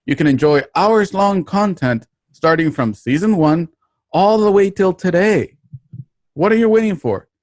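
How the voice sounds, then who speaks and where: A man's voice: high-pitched, expressive, unhurried, a little mellow, no echo, clean studio sound. A man reading a scripted sponsor promotion as an announcer.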